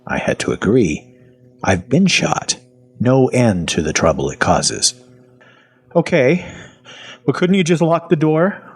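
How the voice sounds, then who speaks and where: Guy acting weary sarcastic captive narrator, deep husky voice, swings around a lot, deadpan clipped lines, audio horror story. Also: a little slow, heavily acted. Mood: irritated. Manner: deadpan, wry, clipped phrases. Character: weary, sardonic male zombie patient narrating his captivity. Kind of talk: first-person audio fiction narration.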